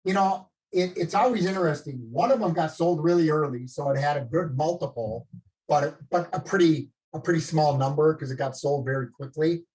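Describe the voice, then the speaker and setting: A man's voice: a little high, moderate pace, a little mellow, husky, rough recording. A man in his late fifties from northern Minnesota with a Minnesotan accent, talking about his career as a guest on a live video call, rambling and self-deprecating with many restarts. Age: late 50s. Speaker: featured guest. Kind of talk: conversational talk on a live call.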